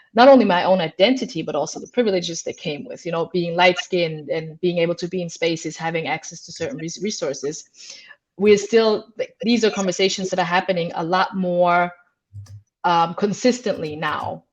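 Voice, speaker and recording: A woman's voice: lowish voice, poor audio. A woman from Austria, a guest coach on a video call panel, speaking earnestly and reflectively, thinking aloud with restarts.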